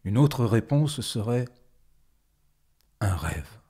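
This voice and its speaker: A man reciting poetry in a dramatic style, phrasing deliberately with frequent pauses and a husky voice. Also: medium pitch, lively intonation, warm tone. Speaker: poetry performer.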